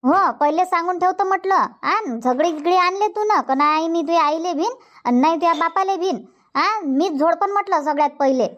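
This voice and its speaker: Cartoon granny, acted out: high voice, blunt and scolding, Varhadi Marathi accent, muffled lo-fi sound. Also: a little fast, fairly bright tone. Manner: nagging, threatening, blunt. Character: scolding, stern old village grandmother. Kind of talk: cartoon comedy dialogue. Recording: dull, muffled lo-fi audio.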